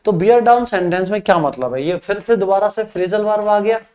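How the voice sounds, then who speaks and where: High-voiced Hindi-speaking man teaching English. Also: moderate pace, a little mellow. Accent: Rajasthani Hindi. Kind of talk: exam practice lesson. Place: classroom studio with a whiteboard screen.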